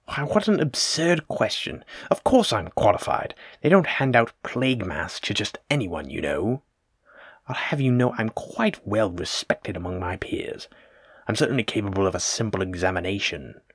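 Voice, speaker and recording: A plague doctor, acted out by a man speaks in a lowish voice, close and dry. He is formal, polite and a little self-important, choosing old-fashioned words in a fussy, evasive way, as in an audio roleplay addressed straight to the listener. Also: sing-song, moderate pace, slightly rough, dry recording. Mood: indignant.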